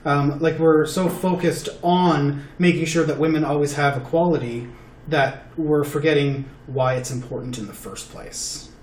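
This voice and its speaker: A man's voice: on the high side, fairly even intonation, normal speed, a little mellow. A man in a casual chat, thinking aloud hesitantly and searching for words. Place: table with four people. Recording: roomy and distant with faint noise.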